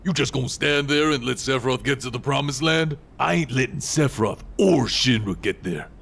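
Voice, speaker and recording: An actor playing a gruff, hot-headed resistance fighter in a video game, blunt, impatient and folksy, with a raspy voice. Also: male voice, medium pitch, brisk, a bit bright. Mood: impatient, blustering. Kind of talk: dramatic video game acting.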